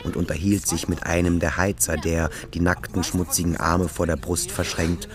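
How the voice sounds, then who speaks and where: A man's voice: low-pitched, flat delivery, moderate pace, a crowd in the background. A man reading literary scripted narration as the narrator of a radio drama.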